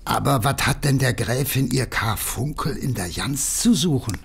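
An actor playing a humble, nervous working-class messenger with a Berlin accent, rambling and hesitant in colloquial speech, in an audio drama. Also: male voice, mid-range voice, expressive, normal speed, creaky, husky, heavily acted. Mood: puzzled. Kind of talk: German audio drama acting.

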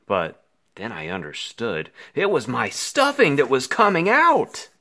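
A man reads a rhyming children's book aloud in the role of an anxious little boy. His delivery is theatrical and extremely animated, with pitch leaping up and down. He takes it slowly, leaving dramatic pauses. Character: anxious, melodramatic five-year-old boy narrating his woes. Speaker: picture book reader.

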